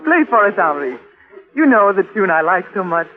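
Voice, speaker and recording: A woman's voice: medium pitch, very animated, fairly quick, phone-quality sound, close to an everyday voice. An actress playing a young woman in love in an old radio thriller, with dramatic delivery. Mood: bright, curious. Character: frightened dying young woman in love, remembering. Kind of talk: 1940s radio acting.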